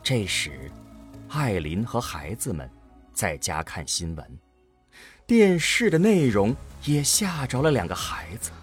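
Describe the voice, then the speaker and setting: A man's voice: fairly low pitch, very animated, slow, soft background music. A man narrating a science fiction radio drama in a dramatic scripted storytelling style.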